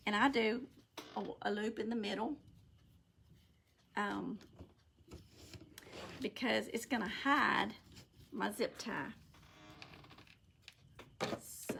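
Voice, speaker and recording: Raspy, creaky Southern US woman. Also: medium pitch, noisy background. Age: middle-aged. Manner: folksy, unhurried, talking while working with her hands. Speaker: craft channel host. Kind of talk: casual live craft tutorial. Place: indoor home craft room.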